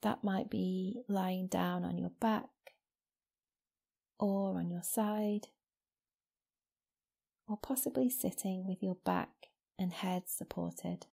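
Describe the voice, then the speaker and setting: A woman guiding a meditation as a yoga nidra teacher, speaking slowly and gently in a soft, soothing voice with long pauses. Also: fairly low pitch, steady tone, creaky, slightly rough. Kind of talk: guided meditation. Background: new-age music.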